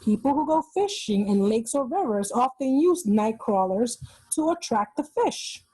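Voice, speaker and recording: Husky, slow-reading woman, close and dry. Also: a little high, sing-song, dark tone, dry recording, rough recording. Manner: explanatory, pausing to point out pictures. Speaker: read-aloud host for children. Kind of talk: reading a children's nonfiction book aloud.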